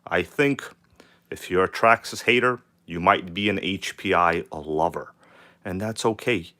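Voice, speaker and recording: A man hosting a casual live stream, his voice hoarse from a cold, hyped and greeting viewers by name. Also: low voice, unhurried, pushed and bright. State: hoarse from a cold, nearly lost voice. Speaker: channel host. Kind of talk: live stream chat about RC cars.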